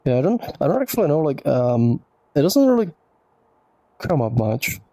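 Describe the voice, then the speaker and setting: A man whose first language is Czech talks through viewer questions in a loose, rambling way, full of "like" and "um". His voice has a gravelly edge and a mellow, dark tone. The sound is close and dry. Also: fairly high pitch, sing-song, normal speed, no echo. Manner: rambling, filler-heavy, reads questions aloud. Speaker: host answering viewer questions. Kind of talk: casual livestream Q&A.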